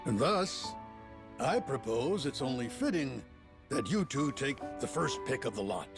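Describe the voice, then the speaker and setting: An actor playing a theatrical, grandiloquent financier in a video game cutscene, speaking in florid, pompous oratory. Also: male voice, mid-range voice, expressive, unhurried. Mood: earnest. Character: theatrical grandiloquent New York financier giving a toast. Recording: music behind the voice.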